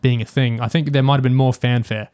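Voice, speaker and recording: A man's voice: mid-range voice, fairly even intonation, quick pace, a little mellow, smooth. A man co-hosting a podcast in casual discussion, tentative and musing as he thinks aloud. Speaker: podcast co-host.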